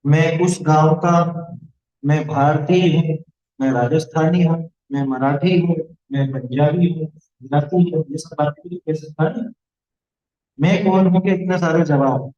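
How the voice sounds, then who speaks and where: A man's voice: fairly high pitch, fairly even intonation, unhurried, mellow, dull audio. A man teaching a religious studies lesson to a class of children, explaining points and asking the class questions.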